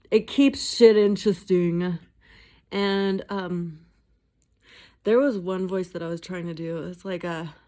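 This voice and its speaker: A woman's voice: lowish voice, a little mellow. A woman vlogging at home in a casual, rambling chat, swearing freely and mocking herself. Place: indoors at home.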